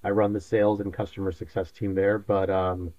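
A man from Mexico who grew up in West Texas, explaining things as a podcast guest in a relaxed, easygoing way with plenty of fillers. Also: deep voice, a little fast, no echo, lo-fi sound. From Mexico, raised in West Texas. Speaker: podcast guest, sales advisor. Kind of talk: casual interview answers.

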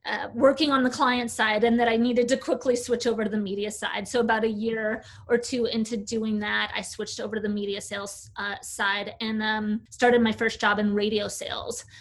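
A woman in her forties, an agency founder, telling her career story in a relaxed interview with frequent "uh"s. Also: medium pitch, normal speed, hardly any pauses. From United States. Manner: chatty, fluent storyteller with filler "uh"s. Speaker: agency CEO, guest. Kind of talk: casual interview talk.

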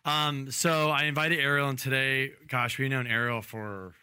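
A man's voice: mid-range voice, fairly even intonation, normal speed, sharp tone, clean tone, no room sound. A man co-hosting a podcast, easygoing and joking as he interviews a guest. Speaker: podcast co-host. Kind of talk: casual interview chat.

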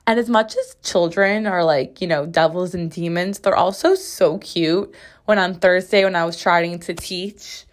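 A young woman hosting a casual solo podcast from her bathroom, her voice slightly raspy as it recovers from being lost, chatting in a rambling way full of "like"s. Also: lowish voice, a little slow. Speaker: podcast host. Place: home bathroom.

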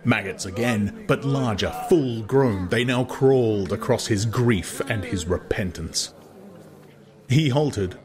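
A man reading a novel aloud as narrator, in dramatized, scripted literary storytelling. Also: on the low side. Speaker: audiobook narrator.

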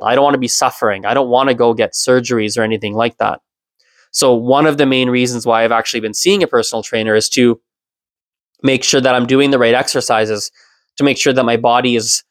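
Man in his thirties, podcast host, reflective, medium pitch, dry close sound. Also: no echo. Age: 30s. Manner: reflective, self-explaining, occasional soft laugh. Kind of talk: solo podcast monologue.

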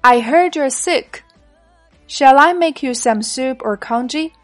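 Woman reading speaker A in a lesson dialogue, plain, slightly high, soft music behind. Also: slow pace, no room sound. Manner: plain, careful read-aloud of lesson lines. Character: everyday adult speaker A in a practice dialogue. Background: faint background music.

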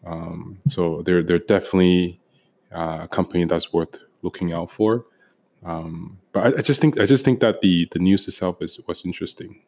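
A man's voice: low-pitched, fairly even intonation, normal speed, darkish tone. A young man co-hosting a casual podcast, talking with frequent ums and restarts. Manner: hesitant, many ums and restarts. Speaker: podcast co-host.

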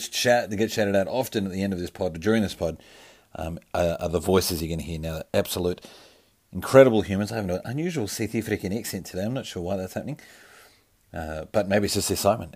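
Deep-voiced joking man hosting. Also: quick pace, a little mellow, slightly rough. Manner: jokey, rambling, mock-grand. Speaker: podcast host. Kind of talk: casual comic podcast intro.

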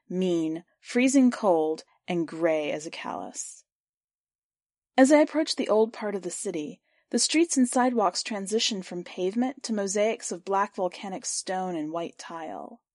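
A woman reading a first-person literary short story aloud for a podcast, in a measured, clear storytelling voice. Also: medium pitch, a little mellow, no echo, studio quality. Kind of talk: scripted narration of literary fiction.

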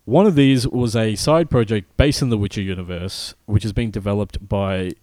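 Podcast co-host, male, lowish voice, stop-start news delivery, dry close mic. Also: darkish tone, dry recording. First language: not Polish. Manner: halting, many fillers and restarts, apologetic. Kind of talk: casual chat, reading news.

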